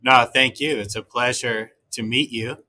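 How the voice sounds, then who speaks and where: A man's voice: medium pitch, fairly even intonation, measured pace, bright, forceful tone. A middle-aged man from Texas, raised in Michigan, speaking as an interview guest over a video call, earnest and polite. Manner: polite, earnest, laughs easily.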